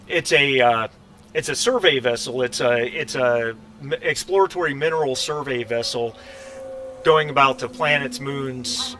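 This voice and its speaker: A man with a bright, forceful voice leads a tabletop sci-fi game. He speaks haltingly, restarting and repeating phrases as he improvises a briefing. There is faint noise behind him. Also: mid-range voice. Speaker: game master.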